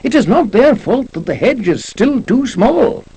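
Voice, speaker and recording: A man's voice: sing-song, a little slow, warm tone, husky, exaggerated voice. A man voicing an upset, deferential palace janitor, fussy and admonishing about etiquette, in a story on old cassette. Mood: anxious reproach. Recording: low-quality transfer from old audiocassette.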